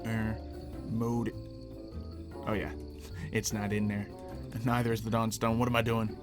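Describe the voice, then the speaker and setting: A man's voice: lowish voice, vocal fry. A man doing gameplay commentary, thinking aloud and explaining each step with playful, exaggerated exclamations. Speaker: gaming video host. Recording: music playing under the voice. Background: background music.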